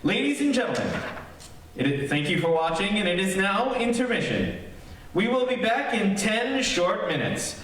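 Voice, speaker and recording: A man's voice: sits high, sing-song, moderate pace, a bit bright. A man announcing a staged radio show in a formal presenter style. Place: stage in a large echoey hall. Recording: very echoey hall, distant mic, faint noise.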